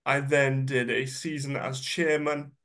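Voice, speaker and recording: A man's voice: medium pitch, level tone, unhurried, sharp tone. A man gives a chatty, self-deprecating personal presentation, sounding a bit nasal from a cold and using many ums and uhs. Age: 30s. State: congested, slightly nasal from a cold. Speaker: guest speaker.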